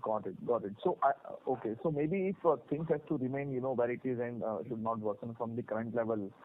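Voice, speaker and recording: A man with an Indian accent asking analyst questions on a conference call, in halting, filler-heavy phrasing. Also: medium pitch. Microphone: telephone conference line. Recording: narrow phone line with faint noise.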